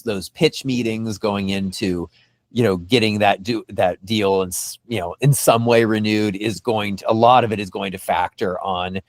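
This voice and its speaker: Low-voiced man hosting a casual podcast. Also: no echo. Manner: halting, repeats phrases as he talks. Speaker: podcast host.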